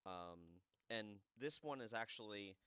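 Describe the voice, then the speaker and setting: A man's voice: lowish voice, animated, measured pace, sharp tone, smooth, no room sound. A man hosting a game playthrough with easygoing, wry, casual commentary into a close mic.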